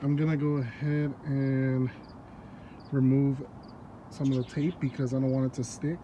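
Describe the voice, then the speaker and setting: A man's voice: mid-range voice, normal speed, muffled recording. Stuffy-nosed man, casual car vlogger talking to his phone outside, easygoing, faint outdoor noise. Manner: relaxed, chatty, laughs often. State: congested, stuffy nose. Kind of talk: casual how-to vlog narration. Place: outdoors in a yard. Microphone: phone held while working.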